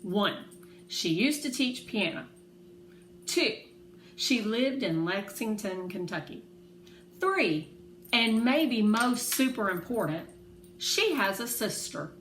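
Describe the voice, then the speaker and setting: A woman's voice: mid-range voice, sing-song, slow pace, pauses often, a bit bright. A woman voicing a sensible, rule-loving young girl, earnest and matter-of-fact, in a storybook reading. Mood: methodical. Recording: roomy echo, faint background noise.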